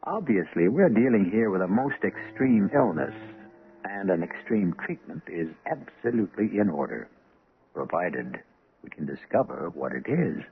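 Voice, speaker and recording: A man hosting and narrating a radio drama in a measured, theatrical way. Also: sits low, very animated. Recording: tinny old radio broadcast with faint music.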